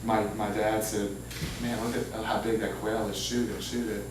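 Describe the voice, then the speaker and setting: A man talks informally about his writing life in an anecdotal way. His voice is on the low side. The recording is very echoey, distant and clearly noisy. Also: little pitch movement, moderate pace. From Louisiana, United States. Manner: self-deprecating, anecdotal. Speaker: author speaking at a book reading. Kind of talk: informal public talk with audience questions. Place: indoor humanities center event room. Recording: echoey, noisy hall, far from mic.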